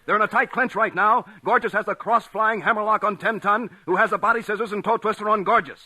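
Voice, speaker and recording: An actor playing an excitable ringside sports announcer in a 1940s radio comedy. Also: male voice, high voice, quick pace, exaggerated voice. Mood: excited. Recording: noisy vintage radio recording.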